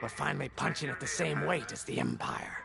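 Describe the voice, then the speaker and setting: Gravelly, cocky rogue pilot. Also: male voice, mid-range voice, wildly swooping pitch, a little fast, over a noisy crowd, full character voice. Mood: wry, cocky. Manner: folksy, wry, drawling slang like "ain't". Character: cocky roguish male rebel starfighter pilot. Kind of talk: video game character dialogue. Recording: loud music behind the voice.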